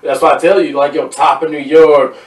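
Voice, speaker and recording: A man's voice: on the high side, a little fast, distant mic. Laid-back man host in a roomy room. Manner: relaxed, supportive, frequent "you know what I'm saying". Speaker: podcast host. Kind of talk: casual chat. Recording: roomy, off-mic sound.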